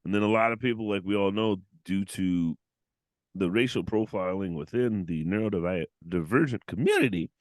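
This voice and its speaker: A man's voice: low-pitched, moderate pace, dry recording. A man hosting a casual podcast, joking around, teasing his guest with crude insults and laughing often. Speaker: podcast host.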